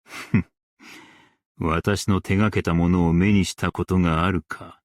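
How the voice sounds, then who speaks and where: A slow, low-voiced dignified scholar-strategist. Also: male voice, flat delivery, no room sound, clean studio sound. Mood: composed, formal. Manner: formal, archaic, measured speech. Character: principled, dignified male scholar-strategist, stern yet merciful. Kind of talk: Japanese game character voice lines.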